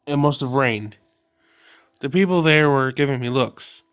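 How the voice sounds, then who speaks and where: A man reads a visual novel aloud in a smooth, resonant voice. His telling stays subdued and steady. The sound is close and dry. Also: mid-range voice, a little slow, no echo. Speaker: video game narrator.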